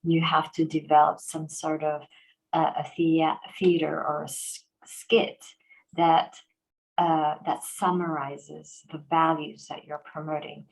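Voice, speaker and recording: A woman with a lowish voice talks in an easy, conversational way. She tells her stories with animation, breaking into quick restarts and fillers. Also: flat delivery, gravelly, low-quality recording. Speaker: organization president and host. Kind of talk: conversational interview.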